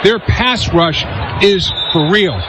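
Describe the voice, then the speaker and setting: An American man giving unscripted colour analysis of a live football game, halting and repeating words as he explains. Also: sits high, a crowd in the background. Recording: noisy live stadium radio broadcast.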